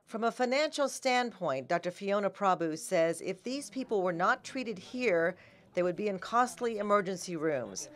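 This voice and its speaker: A woman's voice: medium pitch, expressive, normal speed. A middle-aged American woman reading scripted TV news narration as an anchor and reporter.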